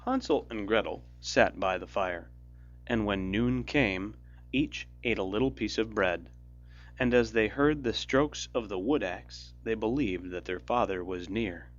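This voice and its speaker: A man narrating a fairy tale as a storyteller, in a measured, expressive storybook delivery. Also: on the low side, highs cut off. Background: music.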